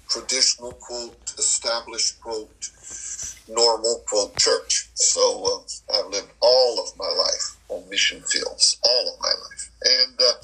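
Gravelly sixty-something missionary man. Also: on the low side, sharp tone, low-quality recording. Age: 60s. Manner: folksy, repeats key phrases for emphasis. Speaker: missionary guest. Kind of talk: casual interview talk.

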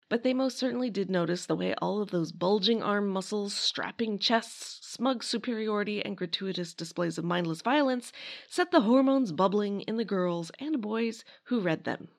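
A woman's voice: medium pitch, moderate pace. A woman narrating a scripted history talk in a conversational way, with wry asides. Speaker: podcast host and narrator.

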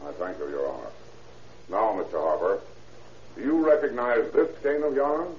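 A man playing a courteous, methodical defense attorney in an old-time radio courtroom drama, speaking formally and deliberately. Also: on the low side, a little mellow, natural voice. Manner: formal, deliberate, politely lawyerly. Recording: echoey, muffled old radio recording, faint hiss.

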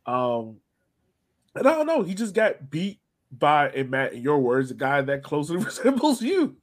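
A man's voice: fairly high pitch, wildly swooping pitch, moderate pace. A man hosting a podcast, chatting casually about sports and putting questions to his co-hosts. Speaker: podcast host.

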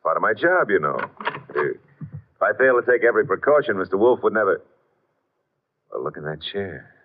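An actor playing a wisecracking, suspicious hard-boiled private detective in a 1950s radio drama, with a brisk, sardonic delivery. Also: male voice, fairly low pitch, sing-song, a little hiss or hum. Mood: brisk, investigating. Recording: muffled lo-fi old radio broadcast.

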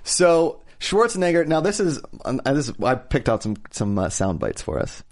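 A man's voice: medium pitch, very animated, moderate pace. A man hosting a casual podcast chat, talkative and laughing often. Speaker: podcast host.

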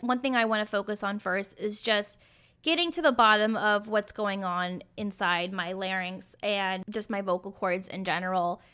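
A young woman hosting a solo podcast, talking candidly and chattily with a raspy, sometimes croaky, hoarse voice. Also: mid-range voice, a bit bright. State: hoarse from acid reflux. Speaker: podcast host.

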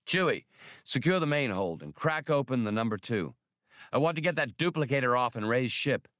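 A man's voice: mid-range voice, expressive, moderate pace, a bit bright, no room sound, clean studio sound, pitched above the performer's own voice, natural voice. A man voicing a brash, cynical smuggler pilot in an audiobook, blunt and wry. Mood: urgent, commanding. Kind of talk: audiobook character reading.

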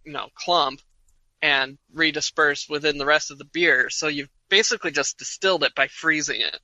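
A man with a very bright, sharp voice explains something casually on a podcast, filling his talk with "like". The recording sounds dull and lo-fi, and it is dry with no room. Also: on the high side, normal speed, dry recording. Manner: explains plainly, says "like" a lot. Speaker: podcast co-host. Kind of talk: casual chat.

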